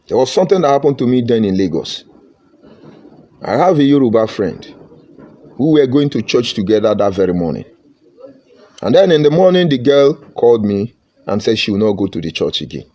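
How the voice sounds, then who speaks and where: A man's voice: medium pitch, dark tone. A Nigerian man giving a fervent, combative political monologue, slipping into Pidgin phrases. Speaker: political commentator.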